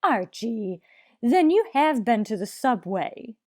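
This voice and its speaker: Slow, grand society matron. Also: female voice, fairly high pitch, very animated, darkish tone, dry recording, studio quality, exaggerated voice. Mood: haughty, refined. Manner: grand, affected upper-class diction with French phrases. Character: haughty society matron, fussy, affected, melodramatic. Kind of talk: 1940s radio comedy acting.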